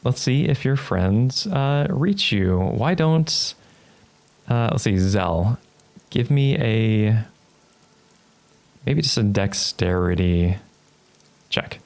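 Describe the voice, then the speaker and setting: The deep, crackly man behind the screen at game night, pausing to think as he paints the scene for his players. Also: animated, gravelly, a little mellow. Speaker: game master. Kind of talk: narrating a tabletop roleplaying game, improvised.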